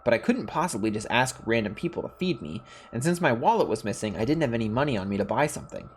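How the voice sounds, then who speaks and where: A man's voice: mid-range voice, lively intonation, fast talker, a little hiss or hum. A man hosting a gaming video, reading a visual novel's narration aloud and dropping in casual asides. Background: faint music.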